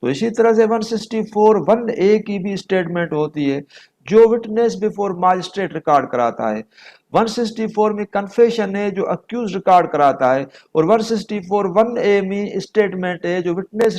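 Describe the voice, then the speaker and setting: A Pakistani man lecturing on law, explaining deliberately, repeating and rephrasing points and mixing in English legal terms. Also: sits high, animated, darkish tone.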